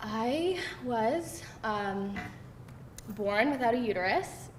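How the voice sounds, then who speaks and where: Candid, joking girlfriend played by an actress, slightly high voice, casual table-read delivery, noisy roomy space. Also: animated, slow pace. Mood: hesitant, vulnerable. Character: candid, joking, self-deprecating woman on a date. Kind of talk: conversational screenplay table read. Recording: roomy live room, noisy rumble.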